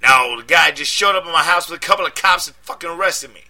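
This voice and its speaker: A man voicing a scared, frantic young man who has just been jailed, cursing and shouting. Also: fairly high pitch, fast, pitched above the performer's own voice. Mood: panicked. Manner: loud, profane, panicky shouting.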